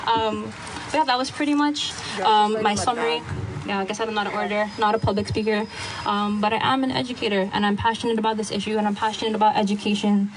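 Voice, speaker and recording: A woman's voice: mid-range voice, steady tone, fast, hardly any pauses, background noise. A young woman from Hawaii speaking passionately at an outdoor gathering, casual with self-deprecating asides. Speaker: activist speaker. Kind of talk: speech at a rally.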